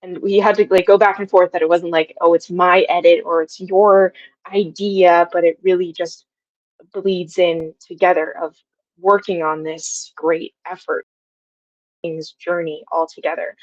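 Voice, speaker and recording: A young woman in her twenties speaks in a fairly low voice, chatting informally as a guest and working out her thoughts as she goes. The recording is dry and somewhat dull, like a video call. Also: flat delivery, unhurried, dry recording. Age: 20s. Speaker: guest, audio drama writer. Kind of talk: casual interview chat.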